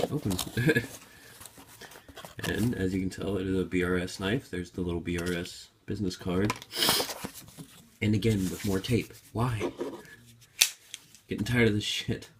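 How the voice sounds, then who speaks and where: A man's voice: low-pitched, a little slow, long pauses, very noisy. Sick young man grumbling through an unboxing. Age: young adult. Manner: grumbling, swears a lot. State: sick with a sore throat. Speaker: vlogger. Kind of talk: casual unboxing vlog. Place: indoor room at a table.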